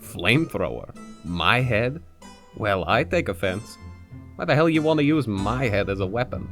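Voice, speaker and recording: Low, dry mob runaway played by a man. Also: sing-song, normal speed, fairly bright tone, faint music behind. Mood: offended. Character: dry, proud runaway son of crime family. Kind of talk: animated web series voice acting.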